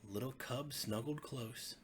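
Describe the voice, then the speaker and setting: A man, a pastor, narrating a bedtime story for children in a gentle, expressive way with deliberate phrasing. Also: medium pitch, a little hiss or hum.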